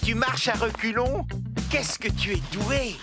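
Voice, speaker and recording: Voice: medium pitch, a little slow, music in the background, natural voice. An actor voicing an eager, admiring, childlike construction vehicle in a children's cartoon, wide-eyed and enthusiastic. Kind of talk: animated children's cartoon dubbing.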